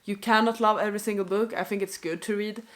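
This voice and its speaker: A woman's voice: medium pitch, fairly even intonation, fast talker. A young woman with a stuffy nose from a cold, chatting casually to camera in an unscripted rant, apologetic and full of "like" fillers. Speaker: book vlogger.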